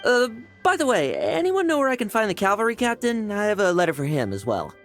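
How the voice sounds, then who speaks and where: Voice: mid-range voice, sing-song, a little fast, soft background music. A voice actor voicing a polite, eager traveling knight messenger.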